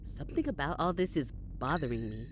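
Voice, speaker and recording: A woman's voice: sits low, expressive, fairly quick, slight background noise. A woman playing a blunt, sceptical, foul-mouthed adventuring companion in a fantasy audio drama. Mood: suspicious. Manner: sardonic, blunt, swearing.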